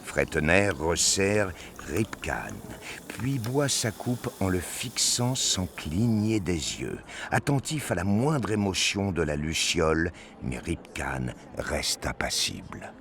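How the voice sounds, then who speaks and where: A man narrating a dark audio drama in a grave, deliberate, scripted style. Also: low voice, very animated, slight background noise. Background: music.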